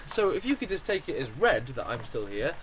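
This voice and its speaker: A man performs an ordinary man in a radio play, courteous yet thoroughly exasperated and baffled. His pitch moves around a great deal, and there is clear background noise. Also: sing-song, a little fast, a bit bright. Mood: polite, persuasive. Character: exasperated, polite, bewildered ordinary Englishman. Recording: background noise, music and ambience behind.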